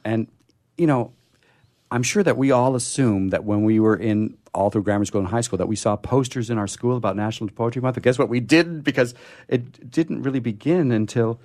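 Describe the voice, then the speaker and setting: Guy hosting radio, mid pitch, chatty and teasing, casual banter. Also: fairly quick, darkish tone. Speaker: radio show host.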